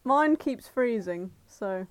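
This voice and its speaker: A woman co-hosting a casual podcast, blunt and sweary with deadpan asides. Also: a little high, takes it slowly, no room sound. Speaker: podcast co-host. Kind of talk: casual chat.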